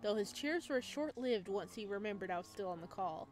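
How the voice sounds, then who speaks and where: A woman's voice: medium pitch, expressive, a little fast, a little mellow. A woman hosting a gaming video, reading a visual novel aloud and adding casual, slangy asides. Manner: informal, slangy, reacts in asides.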